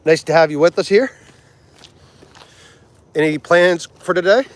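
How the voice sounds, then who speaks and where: A man, hosting a casual livestream, laughing easily and chatting with viewers. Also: on the high side. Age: 40s. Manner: chatty, laughs easily, tries foreign words slowly. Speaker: livestream host.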